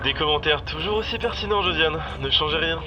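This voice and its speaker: A man's voice: fairly high pitch, a little fast, lo-fi sound, over loud music, voice pushed up. A man playing a dry young police lieutenant calling dispatch by radio in an audio drama. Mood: businesslike, dry. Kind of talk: audio fiction character acting.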